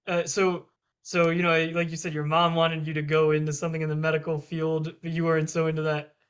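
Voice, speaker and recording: A man's voice: on the high side, monotone, fairly quick, a bit bright, clean tone. A man hosting a podcast, reading an introduction and prompting his guest loosely with fillers. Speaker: podcast host. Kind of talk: interview host.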